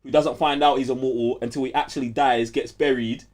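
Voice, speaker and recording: Young male co-host, London accent, street slang, animated and emphatic chat. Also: medium pitch, brisk. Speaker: podcast co-host.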